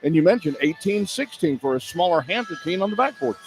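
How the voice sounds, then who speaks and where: Man, fairly high voice, radio play-by-play announcer, sports broadcast delivery, music behind. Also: animated, fairly quick. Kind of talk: sports broadcast commentary with statistics.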